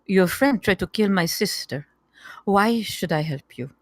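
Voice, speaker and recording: A woman's voice: mid-range voice, expressive, a little slow, no echo, clean studio sound. A woman at a live table read playing a playfully menacing nineteen-year-old poisoner. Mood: cool menace.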